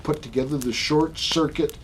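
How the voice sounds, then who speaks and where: A man co-hosting a casual podcast chat, talking in halting bursts full of fillers and restarts. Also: fairly high pitch, fairly even intonation, a little hiss or hum, rough recording. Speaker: podcast co-host.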